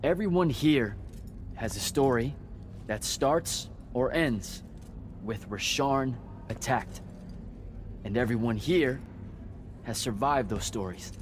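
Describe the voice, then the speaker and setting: A man playing an unassuming young battlemage hero in a fantasy video game, casual, halting and sincere. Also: mid-range voice, a little hiss or hum, close to an everyday voice. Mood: solemn, sincere.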